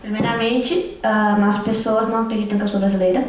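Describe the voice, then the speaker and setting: A teenage girl from Brazil speaks quickly and with life on a panel, acting out the remarks people make to her. Her voice rings in an echoey hall. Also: medium pitch, a little hiss or hum. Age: teens. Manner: animated, acts out quoted remarks. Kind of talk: casual panel talk.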